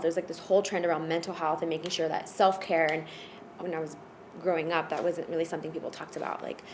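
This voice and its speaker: A woman's voice: on the low side, a little hiss or hum. Woman telling her story with many ums. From United States (Florida). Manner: hesitant, many fillers and restarts. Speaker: podcast guest at a retreat. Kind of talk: casual personal storytelling.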